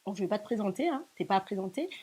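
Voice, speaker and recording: A woman's voice: medium pitch, animated, very fast, mellow, slight background noise. A woman hosting a casual interview show, asking questions in a playful, teasing way. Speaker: show host.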